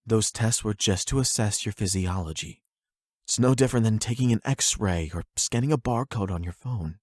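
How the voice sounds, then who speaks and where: A man acting as a calm, patient doctor in an audio roleplay, speaking in a formal, measured and clinical manner. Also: fairly low pitch, no room sound, clean studio sound, close to an everyday voice. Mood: patient, probing. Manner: measured, polite, explanatory.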